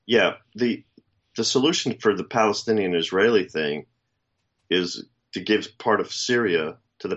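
An American man giving casual, opinionated political commentary as a co-host, speaking deliberately with rhetorical questions, on slightly dull audio. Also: lowish voice, fairly bright tone. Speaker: talk show co-host.

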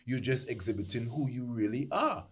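A deep-voiced man in casual discussion, speaking sternly and emphatically with some hesitations. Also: lively intonation, normal speed, slightly rough. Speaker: discussion panelist.

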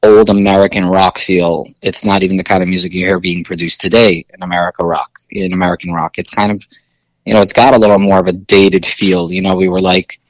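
A male singer with a low speaking voice, chatting casually in an interview with many fillers and restarts. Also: little pitch movement, brisk, no echo, poor audio.

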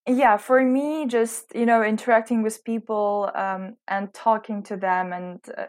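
A woman in her twenties with a mixed British and American accent, answering interview questions reflectively and searching for words as she talks. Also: mid-range voice. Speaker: interview guest, recent graduate.

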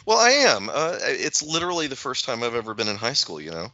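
A man in casual conversation as a podcast co-host, talking haltingly as he thinks aloud. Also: mid-range voice, huge pitch swings, bright, forceful tone, dry recording, dull audio.

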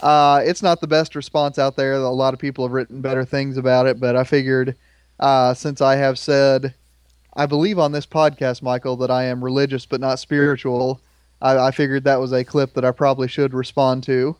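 A man in his thirties hosting a podcast in a relaxed, conversational way, slightly hoarse from a cold. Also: mid-range voice, a little fast, dry recording. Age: 30s. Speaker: podcast host. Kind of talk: casual chat.

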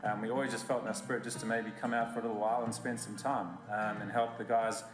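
A man's voice: lowish voice, flat delivery, fast. Reflective man, echoey room, guitar. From South Africa. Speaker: church member. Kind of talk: testimony interview.

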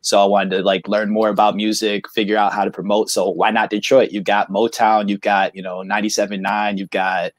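A man in his late thirties from Michigan chats as a podcast guest in a relaxed, rambling way, full of fillers. His voice sits a bit low. The sound is close and dry. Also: brisk, hardly any pauses, no echo. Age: late 30s. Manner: easygoing, rambling, many fillers. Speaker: guest, insurance agent. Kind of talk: casual interview chat.

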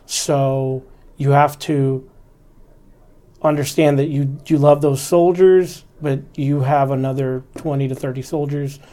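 A man in his forties speaks with an even, level delivery that rarely climbs or dips. He is an army officer reflecting on his experience in an interview, earnest and instructive, and he keeps coming back to the fundamentals. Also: mid-range voice, measured pace.

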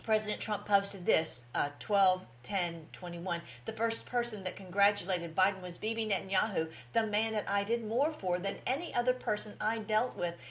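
A woman's voice: medium pitch, moderate pace, few pauses. A woman speaks as the host of her own commentary show, with a mid-range voice. She talks informally and directly to followers in a folksy way, leaning on key phrases and repeating them for emphasis. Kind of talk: informal political commentary to followers, reading out posts.

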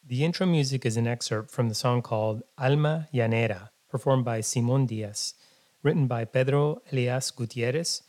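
A man hosting a podcast, reading a scripted introduction and asking interview questions in a measured, friendly way. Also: mid-range voice, a little mellow, no echo. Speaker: podcast host.

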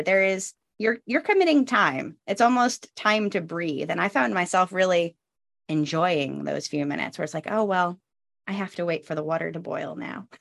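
A woman in her thirties hosts a relaxed podcast conversation. Her voice sits slightly low, and she talks in a chatty way, laughing easily and dropping self-deprecating asides. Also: creaky. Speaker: podcast host. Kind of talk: casual chat.

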